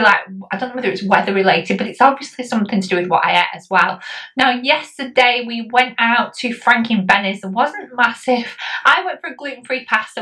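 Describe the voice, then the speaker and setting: A woman's voice: on the high side, sharp tone, recorded from a distance, rough recording. A woman, chatting casually through a health update vlog with lots of ums and likes. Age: 40s. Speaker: vlogger.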